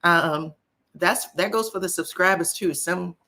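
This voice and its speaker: A woman's voice: on the low side, measured pace, bright, forceful tone. A woman with a scratchy voice hosts a live stream and chats straight to her viewers. She talks in a loose, folksy way, greeting people as she goes. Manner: chatty, folksy, addresses viewers directly. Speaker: celebrity gossip YouTuber. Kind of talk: casual live-stream gossip chat.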